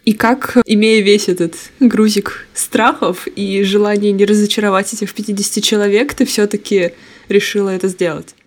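Female podcast interviewer. Average-pitched voice, conversational delivery of questions. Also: steady tone, normal speed.

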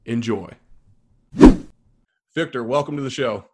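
A man hosts an interview podcast. He opens with a measured, scripted guest introduction, then eases into easy, friendly banter. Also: medium pitch, heavy background noise. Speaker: podcast host.

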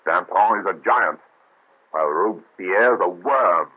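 An actor playing a grave, brooding doctor in revolutionary Paris, in an old radio drama. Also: male voice, low-pitched, slightly rough. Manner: grave, measured, then commanding shouts. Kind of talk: 1930s radio acting. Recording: tinny lo-fi old radio recording with hiss.

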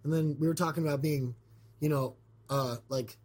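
Male podcast host, hoarse from illness, husky and a little high, rambling casual delivery. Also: steady tone, normal speed, darkish tone. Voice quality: scratchy. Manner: rambling, lots of fillers and restarts. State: hoarse after being sick. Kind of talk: casual chat.

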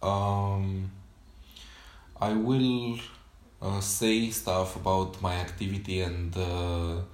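A man whose first language is Romanian hosts a solo podcast journal, talking off the cuff. He speaks slowly in a low voice, halting often to search for words. The room sounds echoey, with a faint hiss behind him. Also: level tone, darkish tone. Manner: hesitant, many pauses and fillers. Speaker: podcast host.

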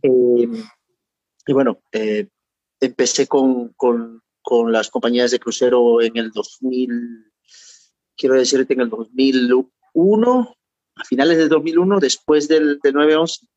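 A middle-aged man with a Peruvian accent speaks as a guest over a remote call. The audio is dull and dry. He talks in a halting way, repeating words and adding little tag questions. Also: medium pitch, steady tone, a little mellow, no echo. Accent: Peruvian Spanish. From Peru. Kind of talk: interview.

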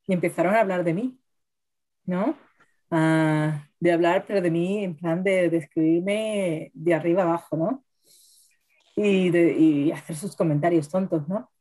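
A woman's voice: fairly low pitch, darkish tone. A woman co-hosting a podcast, telling stories in a chatty way and often tagging on "¿no?". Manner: chatty, keeps adding "¿no?". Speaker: podcast co-host. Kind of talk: casual chat over wine.

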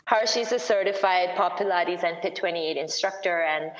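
A woman co-hosting a live podcast in casual chat, warm and playful, teasing her friend. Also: lowish voice, normal speed, roomy sound, lo-fi sound. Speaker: podcast co-host.

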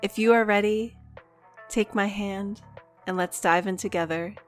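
A woman's voice: medium pitch, steady tone, a little slow, faint music behind. A woman hosting a spiritual podcast, reading a scripted welcome and then chatting in a gushing, effusive way with casual swearing. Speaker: podcast host.

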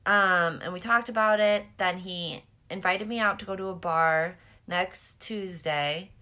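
Bright-voiced, giggly young woman chatting. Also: fairly low pitch, unhurried. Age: young adult. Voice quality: slight lisp. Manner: giggly, candid, sweary oversharer. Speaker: podcast co-host. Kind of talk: casual gossipy chat and storytelling.

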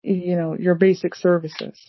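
A woman's voice: fairly low pitch, little pitch movement, a little slow, breathy edge, muffled recording. A woman from the United States chatting casually about learning languages, rambling with many fillers and restarts. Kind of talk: casual conversation.

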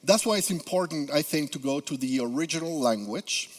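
A man's voice: fairly high pitch, animated, fairly quick. A Peruvian man in his fifties with a Spanish accent, preaching earnestly as a guest speaker with frequent "uh" fillers. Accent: Spanish-accented English. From Peru. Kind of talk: sermon. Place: church sanctuary. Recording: long hall reverb.

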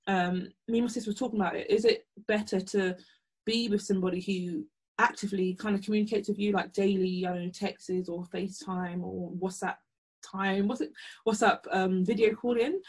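A young woman with a croaky voice co-hosting a podcast, talking casually with many pauses. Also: medium pitch. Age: young adult. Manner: relaxed, hesitant, many ums. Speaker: podcast co-host. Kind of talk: casual chat.

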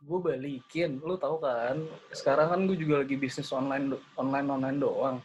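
A man's voice: mid-range voice, fairly even intonation, normal speed, darkish tone, slight background noise. A man with a Jakarta Indonesian accent chatting casually, as in a phone call between friends. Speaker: podcast host.

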